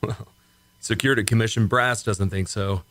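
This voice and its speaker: A man's voice: on the low side, steady tone, normal speed, fairly bright tone, dry recording, natural voice. A man playing an easygoing, wisecracking test pilot with a casual, wry delivery in a retro sci-fi radio play. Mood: casual assurance.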